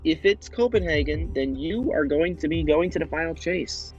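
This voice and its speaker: A man hosting an amateur quiz show, upbeat and brisk as he announces questions. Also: fairly high pitch, animated, dull audio, faint music behind.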